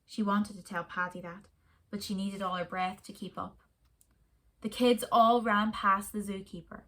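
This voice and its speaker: A woman reading a children's story aloud in a soft, expressive way. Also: mid-range voice, moderate pace.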